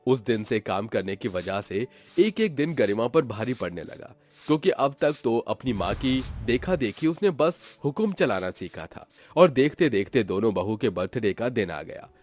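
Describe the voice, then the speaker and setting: Male narrator, animated delivery, dramatic family moral tale, soft music bed. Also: mid-range voice, moderate pace. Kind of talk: dramatic scripted story narration.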